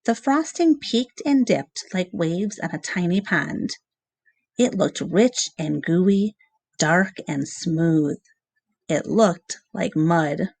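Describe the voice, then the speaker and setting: A woman reading a children's novel aloud in measured, expressive storybook narration with short pauses between phrases. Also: on the low side, gravelly, dry recording.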